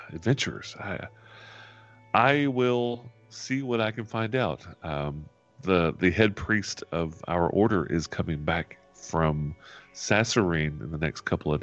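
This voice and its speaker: A man voicing a courteous, helpful female temple priest in a tabletop game, speaking with measured hesitation. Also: low-pitched, animated, lo-fi sound, faint music behind. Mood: hesitant, helpful.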